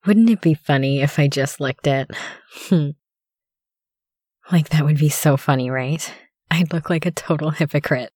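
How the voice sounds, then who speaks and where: Slow-talking, coy girlfriend played by a woman. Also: low voice, a little mellow, no room sound, studio quality. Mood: coy, mock-ironic.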